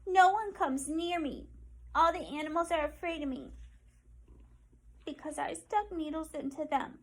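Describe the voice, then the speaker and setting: Little porcupine, lonely but eager, very high and earnest, as a woman reads her. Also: very animated, normal speed, pushed and bright, heavily acted. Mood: sad. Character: lonely young female porcupine, gentle.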